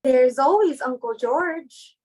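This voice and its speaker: A woman's voice: high-pitched, slow, warm tone. A woman playing a timid, reluctant young society daughter in an amateur reading of a stage comedy. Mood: helpful.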